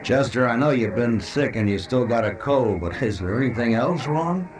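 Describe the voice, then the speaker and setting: A man acting a steady, plain-spoken American frontier marshal in an old Western radio drama, dry and understated. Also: medium pitch, moderate pace, natural voice. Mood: concerned, dry.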